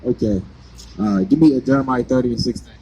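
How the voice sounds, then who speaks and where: A man's voice: medium pitch, quick pace, dark tone, a little hiss or hum, rough recording. A man preaching on the street, pressing his points with repeated questions. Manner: insistent, hammers points with repeated "right?".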